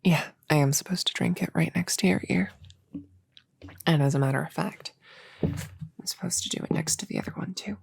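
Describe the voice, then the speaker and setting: A woman playing a friendly, slightly bumbling novice witch in a soft-spoken ASMR roleplay, breathy and often whispering, with a hesitant, casual way of talking. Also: on the low side, a little fast, pauses often, no room sound, full character voice. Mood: chatty, gentle. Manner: hesitant, casual, self-correcting.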